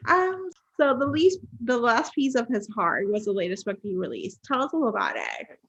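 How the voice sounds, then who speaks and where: A woman's voice: fairly high pitch, big pitch swings, moderate pace, fairly bright tone, slightly rough, dull audio. A woman from Puerto Rico hosting a book podcast, chatting casually with many "like" fillers. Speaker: podcast host. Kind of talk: casual interview chat.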